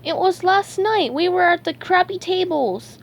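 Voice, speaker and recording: A woman voicing an excitable, overdramatic young male cartoon sponge who wails and exclaims. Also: extremely high pitch, a little slow, pitched above the performer's own voice. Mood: urgent, pleading. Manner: dramatic, wailing and exclaiming.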